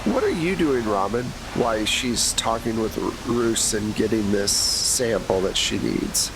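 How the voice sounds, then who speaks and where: A man hosting and narrating a tabletop game as game master, in a relaxed, filler-heavy way. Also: on the low side, measured pace, gravelly. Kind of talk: tabletop actual play narration. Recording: noisy home mic with hiss.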